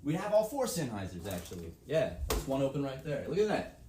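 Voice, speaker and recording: A man co-hosting a casual podcast, rambling and joking, with a dry cough and a slightly raspy voice. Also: mid-range voice, huge pitch swings, brisk, darkish tone, a little hiss or hum. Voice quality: a little raspy. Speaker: podcast co-host.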